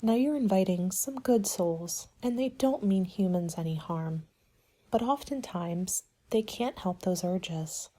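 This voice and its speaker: A woman doing a soft-spoken ASMR roleplay as a helpful party planner, gentle and measured, often slipping into a whisper. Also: medium pitch.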